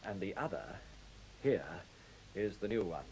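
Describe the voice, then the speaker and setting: A man's voice: low-pitched, very animated, a little slow, darkish tone, full character voice, low-quality recording. An actor in a radio play voicing a fussy, eager male computer engineer who explains things politely and a little hesitantly. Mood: eager explaining. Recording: faint hiss on old radio recording.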